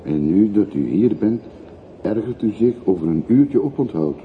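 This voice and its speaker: A man's voice: lowish voice, lively intonation, normal speed, close to an everyday voice. An actor playing an older working man in a radio play, curious and courteous, asking polite, probing and hesitant questions. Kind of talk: radio drama acting. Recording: muffled, noisy old radio play recording.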